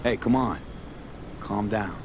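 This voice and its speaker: A man's voice: on the low side, slow. An actor playing a cool, pragmatic male street mercenary in a video game, talking in clipped, matter-of-fact phrases. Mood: firm. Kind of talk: naturalistic video game acting. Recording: vehicle and ambience noise behind.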